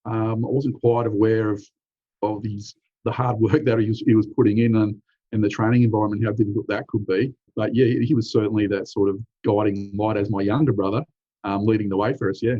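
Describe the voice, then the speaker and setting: A man in his forties with an Australian accent, an easygoing veteran telling his story in a relaxed, chatty way. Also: on the low side, a little fast. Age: 40s. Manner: easygoing, uses "mate", frequent "um" and "you know". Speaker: military veteran guest. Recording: dry, slightly dull lo-fi recording.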